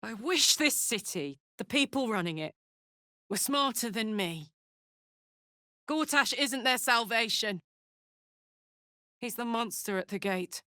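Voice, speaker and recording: A battle-hungry video game warrior woman with a big heart and a salty, informal tongue. Her delivery is unhurried and emphatic, swinging widely in pitch, in a very dry studio sound. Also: lively intonation, pushed and bright, no echo, heavily acted. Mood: grave, earnest. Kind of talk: video game character voice lines.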